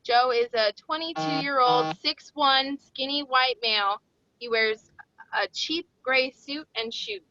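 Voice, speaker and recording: Bright, high-voiced woman reading stage directions. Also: takes it slowly, lo-fi sound. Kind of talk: screenplay table read, scripted narration.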